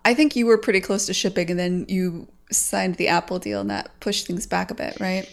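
A woman hosting a casual podcast chat, frank and animated, swearing casually as she talks about game development. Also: on the low side, brisk.

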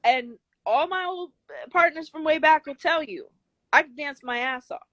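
An older woman with a high, girlish voice, speaking bluntly and emphatically as an interview guest, swearing freely. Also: a little slow, fairly bright tone, no room sound, lo-fi sound. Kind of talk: candid interview talk.

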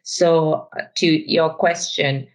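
A woman's voice: deep voice, fairly even intonation, slow, low-quality recording. Sri Lankan-accented woman executive guest. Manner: thoughtful, frank, with hesitant fillers. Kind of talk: podcast interview.

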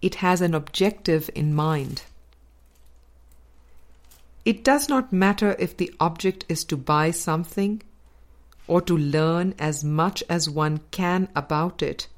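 A woman with a fairly low voice reads a spiritual book aloud. Her pace is very slow, and each phrase is measured and deliberately placed, in the manner of an audiobook narrator. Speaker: audiobook reader.

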